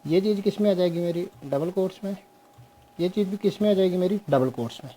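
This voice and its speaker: A young man teaching a programming class on screen, slowly walking through code step by step and mixing Hindi with English. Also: high-pitched, warm tone, a little hiss or hum, low-quality recording. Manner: slow, explains step by step, mixes Hindi and English. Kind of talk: screen-share coding lesson.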